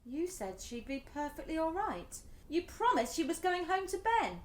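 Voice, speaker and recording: A woman's voice: extremely high pitch, sing-song, normal speed. An actress playing a stubborn, devout, grieving mother in a radio drama. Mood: pleading, hopeful. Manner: insistent, repetitive, emotionally volatile.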